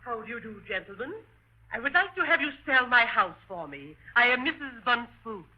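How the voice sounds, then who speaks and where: A woman's voice: a little high, lively intonation, normal speed. A woman playing a haughty lady who owns a haunted house, in a 1940s radio comedy sketch. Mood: formal. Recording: muffled 1940s radio recording.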